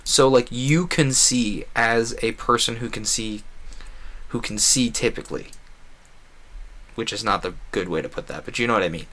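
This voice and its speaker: A man's voice: mid-range voice, moderate pace, fairly bright tone. A man doing casual gameplay commentary as a streamer, talking in a rambling, conversational way with frequent asides. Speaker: video game streamer.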